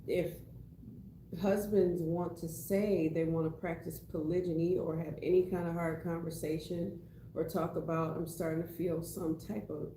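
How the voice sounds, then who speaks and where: A woman in her forties co-hosting a casual discussion, frank and quick with teasing quips. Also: on the low side, mellow. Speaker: co-host. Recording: echoey room with faint noise.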